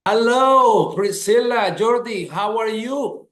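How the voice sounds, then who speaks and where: High-voiced man hosting a webinar. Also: expressive, slow, a bit bright, reverberant. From Colombia. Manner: enthusiastic, emphatic, repeats words for stress. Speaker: webinar host. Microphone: video call.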